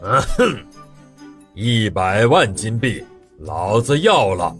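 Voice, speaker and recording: A man's voice: lowish voice, very animated, slow pace, a bit bright, full character voice. A man playing a boastful, greedy, hearty fat nobleman in an audio drama, with a blustering drawl. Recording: music behind the voice.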